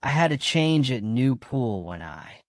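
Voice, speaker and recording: A man's voice: medium pitch, very animated, measured pace, no room sound, studio quality. A man playing a polite, guarded traveller and former soldier in a radio drama, speaking hesitantly and apologetically.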